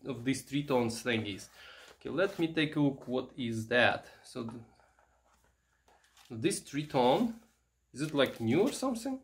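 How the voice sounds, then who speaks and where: A man giving casual, unscripted show-and-tell commentary, halting and musing aloud as he handles things. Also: mid-range voice, fairly bright tone. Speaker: vintage electronics vlogger.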